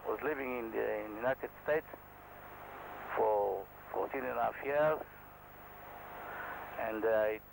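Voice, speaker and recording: An Israeli man, a helicopter instructor, speaking to camera in halting non-native English with a modest, self-deprecating manner. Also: lowish voice, gravelly, a little hiss or hum, rough recording. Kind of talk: candid talk to camera.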